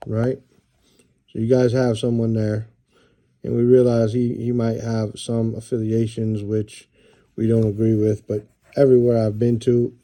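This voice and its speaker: A middle-aged American man showing and describing books in a relaxed, rambling way, with many fillers and restarts. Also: medium pitch. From United States. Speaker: book reviewer. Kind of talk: casual book show-and-tell.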